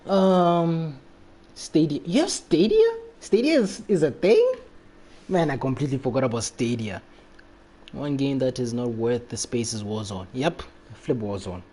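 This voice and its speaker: A man's voice: medium pitch, very animated, measured pace, warm tone. A man chatting casually and jokily on a game livestream, reacting in slangy, loose phrases. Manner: jokey, slangy, often chuckling. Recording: faint background noise with game sound.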